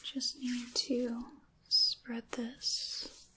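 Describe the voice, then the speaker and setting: A woman voicing a sinister, mock-courteous dark witch for an ASMR roleplay, in a breathy whisper with theatrical, formal wording. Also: on the high side, little pitch movement, low-quality recording. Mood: scheming, hushed. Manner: slow, theatrical, formal old-fashioned wording.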